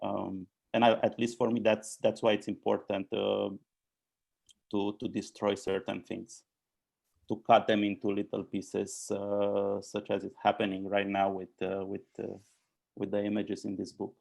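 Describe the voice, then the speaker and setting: A man speaks slowly and unhurriedly, his low voice crackling with gravel. He stays in a flat, narrow tone, pausing often and restarting his sentences. It comes through a video call. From Romania. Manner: halting, many fillers and restarts. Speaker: architect and author. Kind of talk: hesitant conversational answers.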